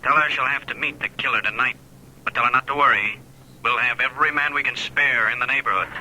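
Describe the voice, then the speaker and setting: Hard-edged police captain on telephone. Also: male voice, medium pitch, fast. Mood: commanding. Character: brisk, commanding police captain giving orders. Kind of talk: 1940s radio drama acting. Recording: sharp, hissy old radio phone line.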